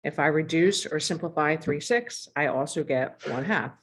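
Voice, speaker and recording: Deep-voiced woman, math teacher, voice strained and going hoarse, explains step by step with throat-clearing. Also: moderate pace, a little hiss or hum. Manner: clears throat often, talks through examples step by step. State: losing her voice. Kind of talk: recorded math lesson.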